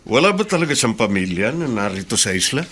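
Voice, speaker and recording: An actor playing a businesslike, official police chief in a radio drama. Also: male voice, on the low side, very animated, quick pace, fairly bright tone, breathy edge, heavily acted. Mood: grave, official. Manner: official, matter-of-fact. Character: businesslike male police chief investigating deaths.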